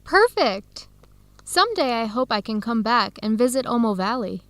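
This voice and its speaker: Young female researcher for educational radio drama: warm, upbeat, fairly high voice, clear simple lines. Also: big pitch swings, moderate pace, no echo. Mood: cheerful. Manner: clear, simple phrasing for English learners.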